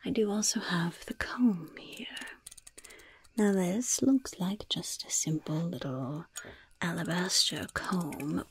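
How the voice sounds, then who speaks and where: A mischievous goblin shopkeeper, acted out by a woman, murmurs in a heavily crackling whisper for an ASMR roleplay. The delivery is slow, coaxing and quietly gleeful, close on the microphone, like a sly peddler of cursed wares. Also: lowish voice. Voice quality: breathy, gravelly whisper.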